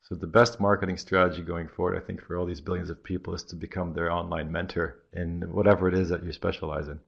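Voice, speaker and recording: A man from Belgium with a slightly American-sounding accent, a marketing guest in an interview who thinks aloud with many pauses. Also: low-pitched, level tone, creaky, breathy edge. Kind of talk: podcast interview. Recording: dull lo-fi audio.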